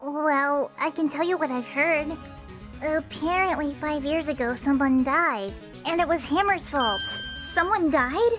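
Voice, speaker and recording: A woman voicing an earnest, expressive young woman in an amateur fan dub of a mystery game. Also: high-pitched, a little slow, over music. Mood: confiding.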